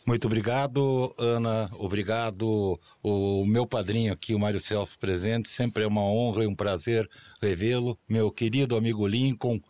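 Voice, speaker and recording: A man's voice: on the low side, fairly even intonation, moderate pace, darkish tone, no room sound. A male journalist guest on a podcast interview, reminiscing affectionately and often adding tag questions. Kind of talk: casual interview.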